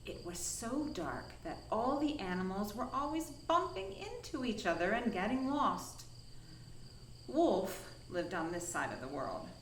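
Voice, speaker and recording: Slow, animated woman reading a children's story. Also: medium pitch, echoey room, recorded from a distance, slight background noise. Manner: dramatic, deliberate pauses and emphasis. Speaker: storyteller from a science museum.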